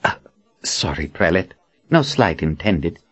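A jaunty old prophet of a wizard, played by a male audiobook reader. He is breezy and sure of himself, with a lively pitch that swoops about. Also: a little slow, breathy edge, heavily acted. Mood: pleased, breezy. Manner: breezy, self-assured. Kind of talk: audiobook character acting.

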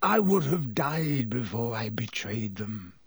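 A man voicing a fierce, embittered gaunt man in an audiobook, harsh and croaky, with forceful accusing delivery. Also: mid-range voice, big pitch swings, slow pace, no room sound, full character voice. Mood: bitter. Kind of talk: audiobook character voice.

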